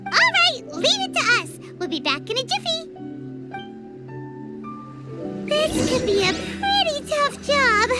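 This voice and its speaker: Game sidekick: tiny childlike fairy girl, extremely high, swooping delivery, chirpy and excitable. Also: moderate pace, over loud music. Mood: eager, uneasy. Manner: speaks of herself in third person, exclamatory. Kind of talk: video game character acting.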